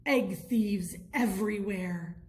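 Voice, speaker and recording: Lady reading as a grouchy penguin, suspicious and complainy, kids storybook, not rushed at all. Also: medium pitch, a little mellow, reverberant, natural voice. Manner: grumbling, complaining. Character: grumpy, suspicious, homesick penguin musician.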